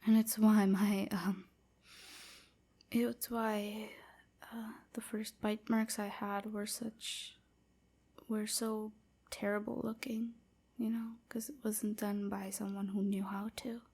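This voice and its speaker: A woman playing a melancholy vampire slave in an intimate roleplay audio, confiding softly and hesitantly with a breathy delivery. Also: mid-range voice, level tone, takes it slowly. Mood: haunted, sorrowful. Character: weary, melancholy female vampire slave, confiding her past.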